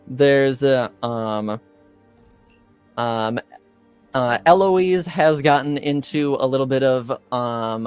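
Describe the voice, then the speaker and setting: A man improvising as game master in a tabletop roleplaying game, hesitant and halting as he searches for words. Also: mid-range voice, clear, resonant tone.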